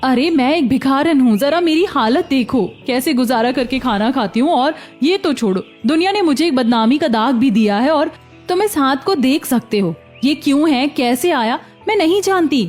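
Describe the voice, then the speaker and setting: A woman acting a high-pitched, wounded young beggar woman. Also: animated, moderate pace, a little mellow, faint music behind. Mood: distressed, protesting. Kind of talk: animated story dialogue.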